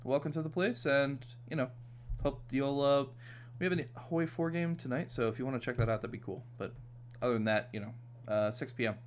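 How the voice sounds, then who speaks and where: A man's voice: medium pitch, fairly quick, slight background noise. Laid-back streamer guy from New York. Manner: laid-back, chatty, many fillers. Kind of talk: casual gaming chat.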